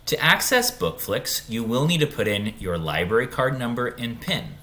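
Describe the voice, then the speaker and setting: A man's voice: medium pitch, big pitch swings, moderate pace, pushed and bright. A man giving an informal step-by-step screen tutorial for young students. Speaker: school tech tutorial presenter.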